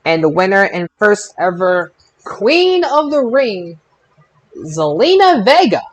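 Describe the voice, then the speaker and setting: Male podcast host, slightly high voice, informal and halting sports recap delivery. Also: very animated, poor audio. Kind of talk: casual wrestling results chat.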